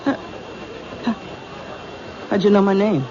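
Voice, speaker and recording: A woman's voice: mid-range voice, unhurried, crowd noise, natural voice. An actress playing a nervous, stammering middle-aged cleaning woman in a radio drama. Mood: uneasy. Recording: noisy, muffled vintage radio broadcast.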